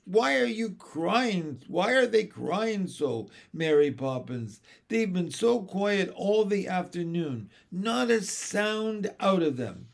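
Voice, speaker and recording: A man's voice: high-pitched, very animated, takes it slowly, creaky, hardly any pauses, voice pushed up, full character voice. A man voicing a fussing, doting mother of babies in a storybook, with a gushing, soothing manner. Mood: anxious fussing.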